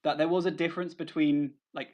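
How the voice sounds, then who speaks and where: A man's voice: on the high side, level tone, a little fast. A young man with a southern English accent, a researcher guest talking casually, often restarting his sentences in a modest, hesitant way. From south of England. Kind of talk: casual conversation. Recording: dull lo-fi audio.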